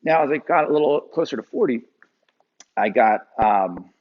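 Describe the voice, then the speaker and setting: A man in his forties from Pennsylvania, telling a personal story to a live audience with animated delivery and frequent fillers. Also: medium pitch, normal speed, phone-quality sound, slight background noise. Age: 40s.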